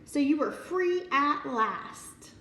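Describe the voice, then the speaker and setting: A woman voicing a bright, eager little girl who asks earnest, curious questions in a storybook reading. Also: high voice, animated, slow pace, pitched above the performer's own voice, exaggerated voice. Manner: earnest, wide-eyed questioning. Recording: roomy, distant mic, faint noise.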